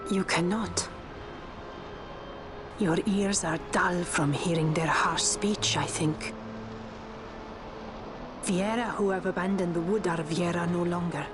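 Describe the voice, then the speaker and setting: An actress playing a stern, aloof female leader of a forest people in a video game, speaking in measured, formal, archaic phrases. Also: lowish voice, fairly even intonation, over music. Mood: haughty, stern.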